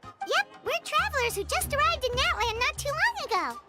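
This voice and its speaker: A woman voicing a tiny, perky, childlike companion in a video game, squeaky, chirpy and excitable. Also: moderate pace, over loud music.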